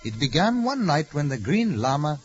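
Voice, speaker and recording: A man's voice: a little high, sing-song, moderate pace, slightly rough. Muffled old radio sound brings in a wise, reverent manservant. His phrasing is formal and deferential with wide, dramatic inflection, as in 1940s radio serials. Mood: solemn, narrating. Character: reverent, formal, wise servant of a mystic hero. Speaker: radio drama actor and narrator. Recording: dull vintage radio recording, music.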